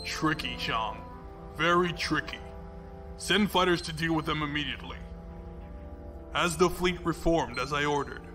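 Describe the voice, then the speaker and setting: Male actor as arrogant starship commander, stubborn villain, higher-pitched male voice, imperious, audio drama with score. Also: moderate pace, pauses often, sharp tone, soft background music. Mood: tense, commanding. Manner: haughty, commanding. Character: proud, stubborn male fleet commodore, cold villain. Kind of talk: space opera audio drama acting.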